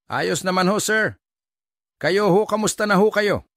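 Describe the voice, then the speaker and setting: A woman's voice: mid-range voice, unhurried, fairly bright tone, dry recording, clean studio sound. A woman voicing a polite, deferential male security guard in his thirties in an audio story. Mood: respectful. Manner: polite, respectful, servile.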